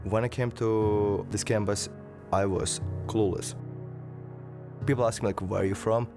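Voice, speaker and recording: Young man from Kazakhstan, twenties, low gravelly voice, candid interview, music bed. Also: fairly even intonation, a little slow. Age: 20s. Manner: candid, plain, searching for words. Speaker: graduating student interviewed for video. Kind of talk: reflective interview talk. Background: background music.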